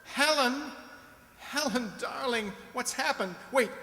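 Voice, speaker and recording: A man's voice: very high-pitched, takes it slowly, bright, forceful tone, full character voice. A man playing a concerned, devoted doctor in an amateur radio play. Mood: alarmed concern. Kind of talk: amateur radio drama acting. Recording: echoey hall with long reverb.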